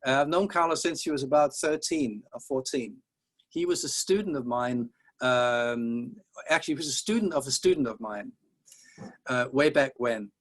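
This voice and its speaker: Middle-aged guy w a danish accent lecturing a music class, rambly and joking, mid pitch. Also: normal speed. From Denmark. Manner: discursive and wry, with self-deprecating jokes. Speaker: college music teacher.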